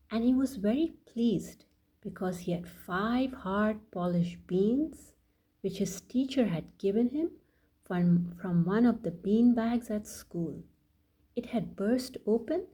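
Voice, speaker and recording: A woman reading a bedtime story aloud for children as a storyteller, addressing them directly in a deliberate way. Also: medium pitch, warm tone, slightly rough, rough recording. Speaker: children's storyteller and channel host.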